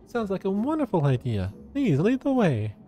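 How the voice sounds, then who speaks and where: A man plays a young female game companion, prim and earnest, pitched well up from a male register. Faint music runs behind the lines. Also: wildly swooping pitch, normal speed, dark tone. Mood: eager.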